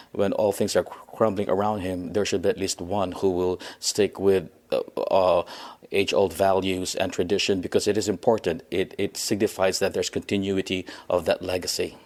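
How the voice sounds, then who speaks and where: A man, a stage actor giving interview answers with formal wording and halting phrasing. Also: deep voice, a little mellow.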